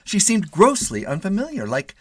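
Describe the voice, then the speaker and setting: A man reading a comic short story dramatically, with theatrical, expressive delivery. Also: high-pitched, moderate pace, husky, no echo. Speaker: story reader.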